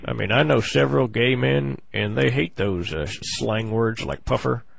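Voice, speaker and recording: Gravelly, husky man making a prank call. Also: mid-range voice, no echo. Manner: hesitant, halting, mock-earnest complaining. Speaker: prank caller on a comedy show. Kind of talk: comic prank phone call.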